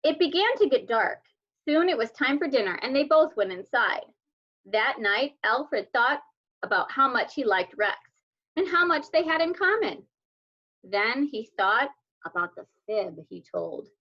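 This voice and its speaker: A woman reading a children's picture book aloud as a storyteller, with expressive, deliberate delivery. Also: high-pitched. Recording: dull lo-fi sound.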